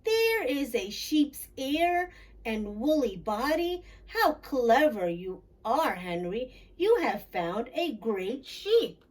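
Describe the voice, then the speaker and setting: A woman voicing a boastful, excitable female sheep in a storybook, exclaiming and argumentative. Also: sits high, slow pace, recorded from a distance, exaggerated voice. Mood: delighted.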